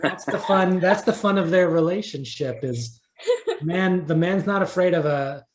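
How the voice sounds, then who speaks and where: A man talking casually as an interview guest, a writer thinking aloud in halting phrases. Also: sits high. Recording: roomy, echoing sound.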